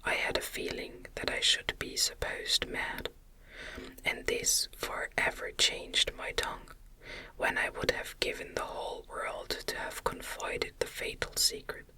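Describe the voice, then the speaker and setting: A woman reading a gothic novel aloud as ASMR in a gentle, soothing, breathy whisper. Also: measured pace. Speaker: audiobook reader.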